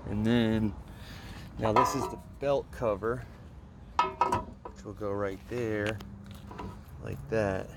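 Unhurried low-voiced man, casual build walkthrough. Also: level tone, creaky. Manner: informal, colloquial, explaining step by step. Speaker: pressure washing hobbyist showing a build. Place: outdoors on a concrete patio. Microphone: handheld phone behind the camera. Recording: outdoor phone recording with ambient noise.

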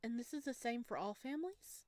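A woman voicing a gentle, sheepish male forest creature in a visual novel, speaking softly and with naive curiosity. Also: fairly high pitch, lively intonation, a little fast, no room sound, close to an everyday voice. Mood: quietly intrigued. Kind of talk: visual novel read-aloud.

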